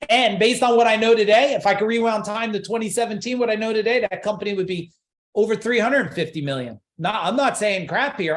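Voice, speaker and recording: A man's voice: high-pitched, roomy sound. A man coaching a live online seminar, pushing emphatic rhetorical questions and repeating his points. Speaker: business coach.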